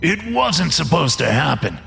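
Horror audio villain, played by a male narrator: big controlling boss, gravelly, reasoning coldly, deliberate. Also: on the high side, very animated, sharp tone, soft background music, pitched above the performer's own voice, exaggerated voice. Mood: coolly explaining. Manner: measured, reasoning, coldly persuasive. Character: fat, sinister male boss, self-justifying and controlling. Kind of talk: audio horror story character.

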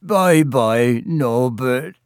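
A man voicing a gruff, sentimental giant gamekeeper who dotes tearfully on his pet in a storybook reading. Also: a little high, big pitch swings, unhurried, a little mellow, no echo, studio quality, pitched above the performer's own voice, heavily acted. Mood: sobbing, tender. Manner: tearful, doting.

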